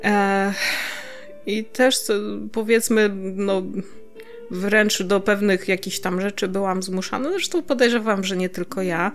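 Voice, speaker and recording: A woman's voice: mid-range voice, measured pace, soft background music. A woman from Poland co-hosting a podcast in casual chat, often searching for words and chuckling. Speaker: podcast co-host.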